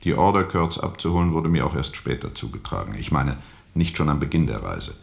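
An actor playing a world-weary steamboat captain who tells of his journey gravely and reflectively, with heavy pauses, in a radio drama. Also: rumbling low, animated, full character voice. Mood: terse, reflective. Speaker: narrator and lead character.

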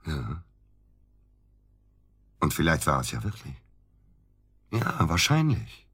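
An actor in a German radio drama playing a distrustful accomplice in a murder plot, questioning in clipped sentences. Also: male voice, very deep voice, huge pitch swings, slow pace, a bit bright, slightly rough, full character voice. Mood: conspiratorial. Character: suspicious, tense male accomplice in a murder plot.

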